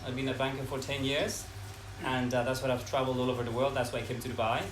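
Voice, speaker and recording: A man's voice: medium pitch, flat delivery. Man speaking in an echoey room. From Ecuador. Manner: earnest, unhurried, lists ideas one by one. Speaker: speaker at a talk. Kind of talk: informal talk to a live audience. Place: room with a live audience. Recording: echoey room from far off, noisy.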